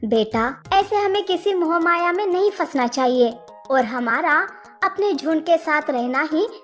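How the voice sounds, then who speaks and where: High, slow protective mother camel acted by a woman. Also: animated, faint music behind. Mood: cautioning. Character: protective, cautious mother camel, loving but firm. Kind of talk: children's animated story character voice.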